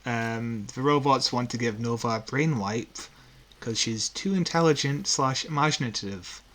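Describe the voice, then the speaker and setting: A man's voice: medium pitch, normal speed, a bit bright. A man co-hosting a podcast, chatting casually and recapping plots informally with a dry, teasing manner. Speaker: podcast co-host.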